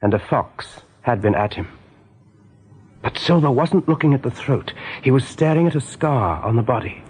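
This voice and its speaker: A man narrating an animal adventure as a calm storyteller in a radio play. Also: mid-range voice, moderate pace, breathy edge. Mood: grave, measured.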